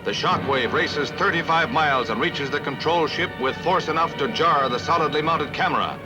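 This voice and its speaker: A man's voice: a little high, moderate pace. An American man narrating a 1950s newsreel in a formal, dramatic, declamatory manner. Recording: dull vintage newsreel, loud music behind. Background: loud music.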